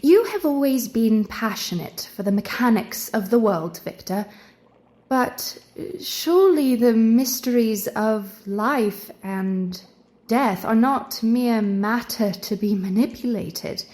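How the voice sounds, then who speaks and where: An actress playing a curious, gently teasing young gentlewoman in an audio drama, arch and playful, with formal diction. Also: fairly high pitch, slow pace. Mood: wry, questioning. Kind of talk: theatrical audio drama acting.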